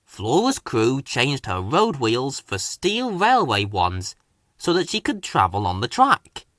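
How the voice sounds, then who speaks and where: A man's voice: mid-range voice, wildly swooping pitch, slow, a bit bright, no echo, clean studio sound. A man narrating a scripted children's story as a storybook reader.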